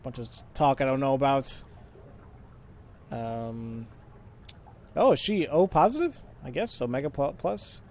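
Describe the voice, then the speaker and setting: A man's voice: mid-range voice, lively intonation, measured pace, long pauses, a little mellow. A man giving casual let's play commentary, thinking aloud in a rambling, self-deprecating way and mumbling through text he reads. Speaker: let's player.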